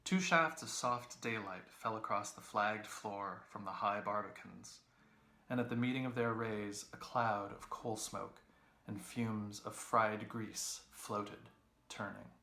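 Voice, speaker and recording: A man reading literary prose aloud as narration, with expressive, deliberate phrasing. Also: fairly low pitch, gravelly, reverberant. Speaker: reader, English professor.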